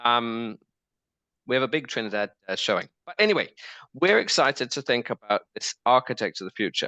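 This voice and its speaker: A man's voice: medium pitch, pushed and bright, no echo. A man moderating an online panel discussion, upbeat and chatty, with plenty of ums and restarts. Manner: upbeat, filler-heavy, restarts names.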